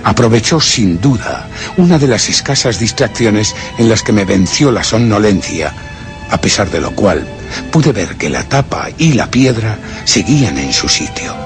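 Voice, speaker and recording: A man acting a brooding, vengeful gentleman who narrates a suspense radio drama in a measured, theatrical way. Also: on the low side, sing-song, music in the background. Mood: grim deduction. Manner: measured, theatrical, deliberate storytelling.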